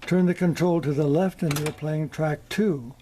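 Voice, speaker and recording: An older man in his seventies narrating a scripted demonstration in a measured, methodical way. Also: fairly high pitch, fairly even intonation, noisy background. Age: older, around 70s. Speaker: presenter of vintage electronics. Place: small indoor electronics workshop.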